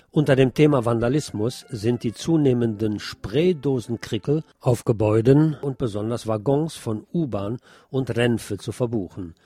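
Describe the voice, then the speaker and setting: A male news presenter with a medium-pitched, somewhat dark and mellow voice. He reads scripted reports with a wry, colloquial touch. The sound is dry, with little room around it. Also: no echo.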